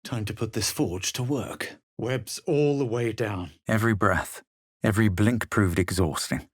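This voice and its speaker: A man playing a haughty, self-assured young adventurer in a fantasy video game, speaking in a measured, theatrical way. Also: on the low side, dry recording, studio quality. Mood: measured, grave. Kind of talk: dramatic video game voice lines and narration.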